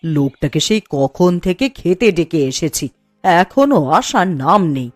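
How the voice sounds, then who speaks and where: A woman's voice: fairly low pitch, sing-song, measured pace, dry recording. A woman playing a scolding, principled village mother in a cartoon story, with a nagging, homely manner. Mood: exasperated. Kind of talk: Bengali cartoon story acting. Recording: music behind the voice. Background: background music.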